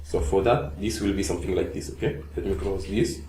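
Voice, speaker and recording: A man's voice: low-pitched, steady tone, a little fast, mellow. A man whose first language is Portuguese, walking through a coding tutorial step by step, checking in with "okay?" after nearly every step. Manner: narrates each step, frequent "okay?" check-ins and "uh" fillers. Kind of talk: screen-recorded coding tutorial. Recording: echoey room with faint hiss.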